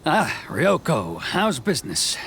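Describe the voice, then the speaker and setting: An actor playing a self-satisfied, shady tax collector in a video game, musing smugly to himself. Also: male voice, fairly high pitch, huge pitch swings, slow, vocal fry, very noisy, full character voice. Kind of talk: acted video game dialogue. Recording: game music behind the voice.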